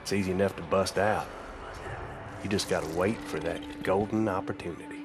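A man acting as a hardened convict with a very low voice, telling his story in world-weary, bitter tough talk. It is game cutscene narration with heavy music under it. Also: moderate pace, natural voice. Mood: grim, bitter. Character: bitter, hardened male escaped convict with powers.

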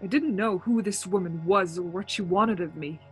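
A woman's voice: lowish voice, moderate pace, faint music behind, lightly acted. An actress playing a frightened, desperate woman driver in a suspense radio play, with dramatic intensity. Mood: haunted, tense. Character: frightened, increasingly desperate woman driver haunted on a road trip. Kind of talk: suspense radio play acting and first-person narration.

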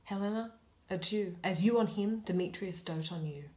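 A young woman reading a play in verse aloud, dramatically and expressively, pausing between phrases. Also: mid-range voice, dark tone. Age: young adult. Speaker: reader of a Shakespeare play. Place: small plain room indoors.

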